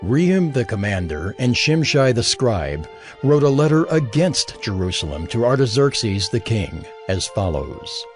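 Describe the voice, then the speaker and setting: A man narrates scripture in a solemn, expressive way. His pitch moves a lot as he reads. Soft music plays beneath his voice. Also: measured pace.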